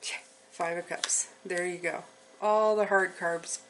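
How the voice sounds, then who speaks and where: A woman with a somewhat low voice gives an informal spiritual card reading. She talks directly to the viewer in a reassuring way, checking in as she goes. There is noticeable background noise on the recording. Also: fairly even intonation, measured pace. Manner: reassuring, often checks in with listener. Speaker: tarot reader hosting a channel. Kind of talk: intuitive tarot reading, unscripted spiritual talk to viewers.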